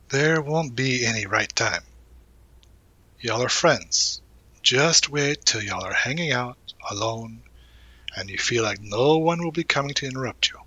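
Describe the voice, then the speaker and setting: Bright-voiced, supportive young college guy, acted by a man. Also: medium pitch, husky, no room sound, heavily acted. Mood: reassuring, encouraging. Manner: casual, reassuring, hesitant pauses and murmured asides. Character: supportive young college man, gently teasing, protective confidant. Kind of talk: intimate audio roleplay, one-sided conversation.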